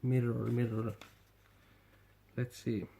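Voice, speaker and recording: A Finnish man vlogging a toy unboxing in halting non-native English, hesitant and repeating his words. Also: mid-range voice, little pitch movement, gravelly, dark tone, husky. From Finland. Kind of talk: casual unboxing commentary.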